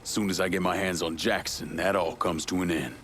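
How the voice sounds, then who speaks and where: A terse revenge-bent tough guy in a game, really low voice, some background noise. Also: fairly even intonation, brisk, a bit bright. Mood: resolute. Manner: terse, hard-edged. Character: grim, determined vigilante out for revenge. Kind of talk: video game cutscene acting.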